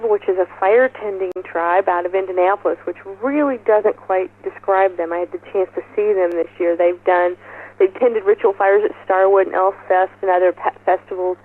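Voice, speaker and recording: Woman on a narrow, tinny phone line. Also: lowish voice. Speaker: festival organizer being interviewed. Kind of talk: informal phone interview, explaining event details. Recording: muffled narrowband telephone line.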